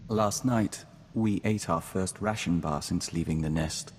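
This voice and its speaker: A serene game diplomat bonded to an insect hive, his pitch deep. He speaks formally and carefully, as a collective "we." The recording has long reverb and some background noise. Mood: earnest, thoughtful. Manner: formal, measured, speaks of himself as we. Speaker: game companion character. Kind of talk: scripted game dialogue acting.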